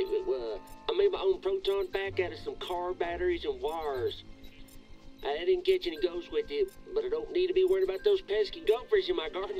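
An actor playing a hesitant, earnest male amateur tinkerer, sheepish and halting on a phone message in a video game. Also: high-pitched, darkish tone, rough recording.

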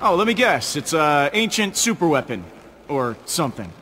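An actor playing a cocky, sarcastic space outlaw hero in a video game, firing off taunting quips. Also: male voice, on the high side, big pitch swings, normal speed, bright, forceful tone, big-room sound, a little hiss or hum. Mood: mocking. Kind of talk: video game cutscene acting.

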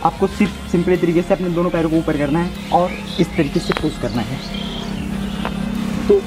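High-voiced young man coaching over music. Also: normal speed. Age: 20s. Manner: casual, instructive, repeats phrases. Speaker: amateur home fitness instructor. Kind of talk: workout demonstration talking to viewers. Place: outdoors in a brick-walled yard or rooftop. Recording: loud music behind voice.

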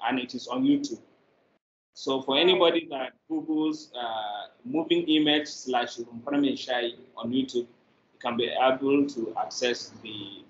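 A middle-aged man with a Nigerian accent, answering interview questions on a video call from a room indoors. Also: medium pitch. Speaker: interview guest, media producer. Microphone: video call, device mic. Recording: echoey, dull, noisy video call.

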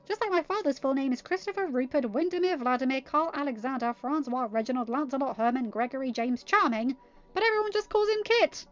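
A woman's voice: high-pitched, animated, fairly quick, muffled recording, soft background music, pitched above the performer's own voice. A woman voicing a cheeky, indignant teenage princess with an emphatic, teasing way of talking.

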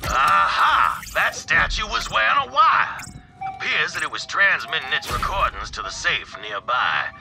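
An actor playing a refined, world-weary gentleman heir in a video game, with a formal, theatrical, drawling delivery. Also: a little high, sing-song, measured pace, gravelly, over music, exaggerated voice. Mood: intrigued.

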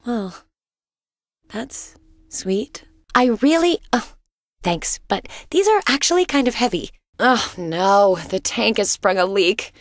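A woman's voice: medium pitch, lively intonation, slow pace, dry recording, studio quality. An actress voicing a caring, exasperated wife and mother in a video game, speaking expressively and earnestly. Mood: gentle, earnest.

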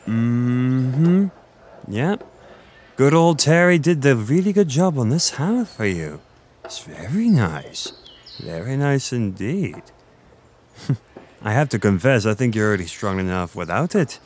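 A man playing a flirty, gentle Irish innkeeper in an intimate, conversational roleplay audio, with a teasing, self-deprecating manner. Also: mid-range voice, slight background noise. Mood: flirty, fond.

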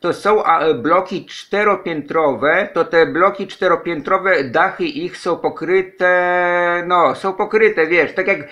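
Bright, forceful man on ham radio. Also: high-pitched, takes it slowly, few pauses. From Poland. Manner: chatty, repeats phrases, spells call signs. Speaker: amateur radio operator. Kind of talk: casual ham radio contact.

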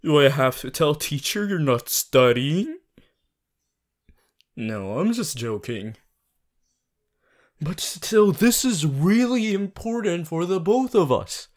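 A man playing an earnest, bossy, worried young student hero in an audio roleplay, talking to the listener in an insistent, halting, emphatic way. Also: on the high side, sing-song. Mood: pleading, insistent. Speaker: voice actor.

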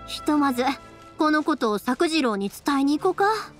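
A spirited, caring young anime game heroine with a Kansai accent. Her voice is high and very animated, earnest and colloquial, over soft music. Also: moderate pace. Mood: resolute. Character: spirited, caring young woman, fireworks maker. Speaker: voice actor in a game.

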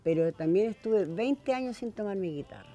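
An elderly Chilean woman talks casually as a guest in a television studio. Her speech is plain and conversational, at a middling pitch, with music behind her. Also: lively intonation, moderate pace, mellow. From southern Chile. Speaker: folk singer, interview guest.

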